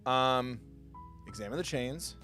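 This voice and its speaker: A man's voice: medium pitch, expressive, slow pace, bright, forceful tone. A man doing live gameplay commentary, thinking aloud playfully and reading text from the game. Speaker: game streamer. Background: game music.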